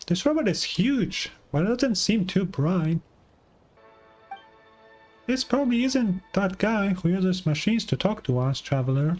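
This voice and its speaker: A man's voice: high-pitched, darkish tone, pitched above the performer's own voice. A man voicing a small, chatty, curious game companion with a cheeky, casual manner. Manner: casual, chirpy. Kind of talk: reading game dialogue aloud.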